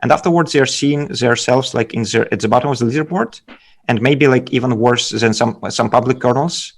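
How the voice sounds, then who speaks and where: A man with a Belarusian accent giving technical explanations as a guest speaker, haltingly, often repeating words. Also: medium pitch. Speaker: guest speaker, data scientist. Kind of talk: technical presentation and interview answers.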